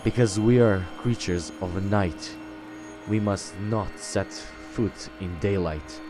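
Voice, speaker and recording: A man's voice: fairly low pitch, music in the background. A man hosting a game roleplay, improvising in character and reading game text aloud in a hesitant way. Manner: hesitant, halting. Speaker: gaming video host, roleplaying as the player.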